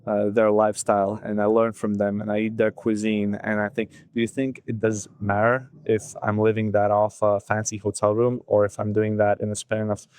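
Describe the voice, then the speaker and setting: A young man in his twenties thinks out loud in a casual solo talk, his low voice staying flat and even. He wanders through his points with plenty of "like" and "you know" fillers. The sound is dry and close, with no room around it. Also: normal speed, few pauses, clean tone, no echo. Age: 20s. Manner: thinking aloud, loose and unscripted, full of "like" and "you know". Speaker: vlogger. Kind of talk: reflective solo monologue.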